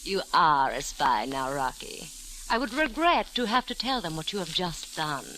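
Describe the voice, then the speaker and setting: A crisp-edged, very animated female spymaster, scheming and condescending. Her phrasing is formal and silky, in old radio-thriller style with a faint hiss. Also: low-pitched, moderate pace, heavily acted, poor audio. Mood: coolly threatening. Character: alluring, scheming female spymaster, coolly mocking. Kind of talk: 1940s radio acting.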